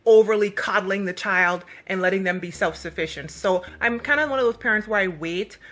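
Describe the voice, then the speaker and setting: A woman's voice: medium pitch, brisk, a bit bright. A woman vlogger telling personal stories with a faith message, emphatic and fond of acting out dialogue and asking rhetorical questions. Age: adult parent of a young child. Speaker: vlogger giving a motivational faith talk. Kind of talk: animated personal storytelling with faith encouragement. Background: background music.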